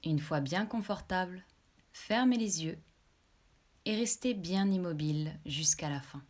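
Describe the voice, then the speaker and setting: A woman leading a guided relaxation meditation as a yoga nidra instructor, giving calm, measured instructions. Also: on the low side.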